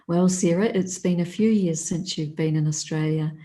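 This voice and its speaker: A woman host introducing a guest speaker and welcoming viewers to an online talk in a measured, welcoming manner. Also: lowish voice, mellow.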